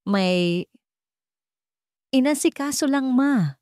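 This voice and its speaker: A woman's voice: high-pitched, expressive, takes it slowly, a little mellow, clear, resonant tone, dry recording, voice pushed up. Audio story casting: woman playing a wealthy man cowed by his mother, subdued and evasive, dry sound. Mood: defensive.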